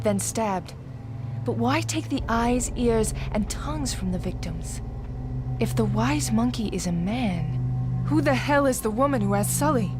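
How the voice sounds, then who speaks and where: An actress playing a tough, brooding investigator, terse and serious, in a video game drama. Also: female voice, fairly high pitch, close to an everyday voice. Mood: brooding, puzzled. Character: tough, brooding female investigator, driven and irritated. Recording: loud music over the voice.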